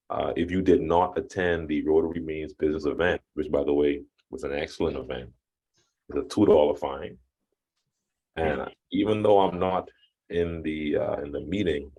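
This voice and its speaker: A man's voice: a bass voice, darkish tone, lo-fi sound. A man on a video call, handing out light-hearted fines to fellow club members in an easygoing way. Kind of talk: casual online meeting talk.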